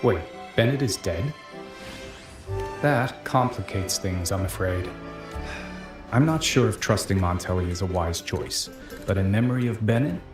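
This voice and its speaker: Roomy sound, guitar music, actor as wry guarded barman, lowish voice, measured, game character. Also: lightly acted. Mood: guarded concern. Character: guarded, smooth-talking male bartender informant. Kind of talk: video game voice acting.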